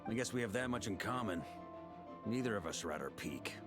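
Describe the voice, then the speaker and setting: A man's voice: fairly low pitch, lively intonation, fairly quick, music in the background, close to an everyday voice. A man playing a bold, seasoned knight who is wry and unyielding, in a fantasy video game. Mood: wry, confident. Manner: dramatic, wry, confident.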